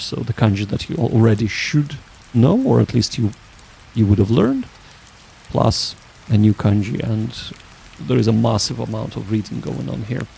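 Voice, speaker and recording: A man hosting a livestream, chatting casually with viewers in a halting, rambling way that often restarts sentences. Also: lowish voice, vocal fry, mellow, a little hiss or hum, low-quality recording. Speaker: livestream host.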